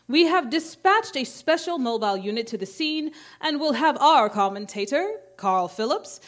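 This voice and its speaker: Actress as female radio bulletin announcer, fairly high, animated melody, brisk, formal, 1930s radio play. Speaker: stage actor in a radio play.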